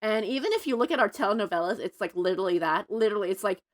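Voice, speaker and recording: A young adult woman from Mexico, a fan fiction writer chatting as a podcast guest, animated and full of fillers as she thinks aloud. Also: medium pitch, racing. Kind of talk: casual podcast chat.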